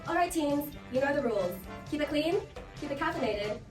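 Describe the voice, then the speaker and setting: A woman's voice: high-pitched, lively intonation, brisk. Echoey woman game show host with music. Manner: playful, teasing banter. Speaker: game show host of a student role play. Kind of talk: scripted game show hosting. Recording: echoey, distant mic, music behind.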